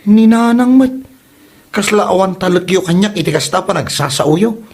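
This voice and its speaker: A man's voice: high-pitched, moderate pace, a little mellow, husky. A man playing a hapless young suitor in an Ilocano comedy drama, stammering, nervous and whiny, with an Ilocano accent. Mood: pleading. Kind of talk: comedy audio drama acting.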